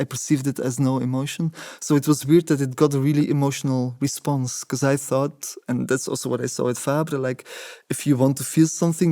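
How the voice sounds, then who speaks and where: A man in his forties whose first language is Dutch, talking through his past in a measured, reflective way. He pauses and restarts often as he searches for words. His voice is mellow and even, recorded dry and close. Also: mid-range voice, dry recording. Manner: thoughtful, many hesitations and restarts. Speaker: interviewee, choreographer. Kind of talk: reflective interview answers.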